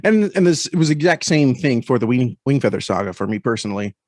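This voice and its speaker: A man's voice: mid-range voice, sing-song, quick pace, darkish tone. A man with a mid-range voice chats as a guest on a podcast. He feels his way through sentences, hesitating as he picks words. The sound is very dry, without room echo. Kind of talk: casual discussion of storytelling. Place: remote video call.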